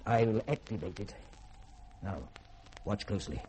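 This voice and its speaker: An actor playing a proud, learned middle-aged scientist, lecturing precisely and grandly in a 1950s radio drama. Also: male voice, lowish voice, unhurried, lightly acted. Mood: grave, intent. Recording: old radio broadcast, faint hiss.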